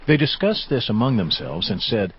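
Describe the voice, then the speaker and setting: A man's voice: on the low side, big pitch swings, normal speed, husky, muffled recording. A man giving scripted narration for a dramatized audio Bible.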